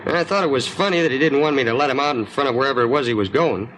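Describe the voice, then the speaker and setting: A man acts out a nosy, talkative American cab driver for a fifties radio drama. He rattles along in a mid-pitched voice with folksy, slangy phrasing. The vintage recording carries faint background noise. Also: rapid-fire, fairly bright tone, natural voice, low-quality recording. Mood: chatty recollection. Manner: colloquial, folksy, inquisitive. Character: chatty, nosy working-class male cab driver. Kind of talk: 1950s radio drama character acting.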